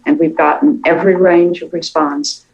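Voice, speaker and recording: A woman giving thoughtful, measured interview answers as a television writer, speaking reflectively about her work. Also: deep voice, steady tone, creaky, husky, muffled recording, faint music behind.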